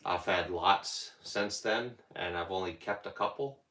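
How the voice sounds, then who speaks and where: A man's voice: deep voice, measured pace, sharp tone, roomy sound. A man hosting a casual livestream, rambling and answering viewers' comments as they come in. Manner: rambling, talks back to chat comments. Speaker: livestream host.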